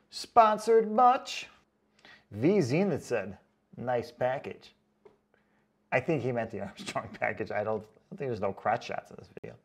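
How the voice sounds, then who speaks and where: A man hosting a casual vlog, reading out comments in a chatty, joking way with sarcastic asides and drawn-out comic emphasis. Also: mid-range voice, a little mellow. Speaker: online boot reviewer and video host.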